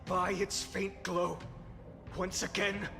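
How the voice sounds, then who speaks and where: An actor playing a weary, defiant fallen hero in a video game, solemn and grandiose with bitter scorn. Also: male voice, high-pitched, level tone, takes it slowly, vocal fry, full character voice. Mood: anguished, intense. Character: weary, defiant male fallen hero burdened by countless lifetimes. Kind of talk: dramatic scripted video game acting. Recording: loud music behind the voice. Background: game soundtrack music.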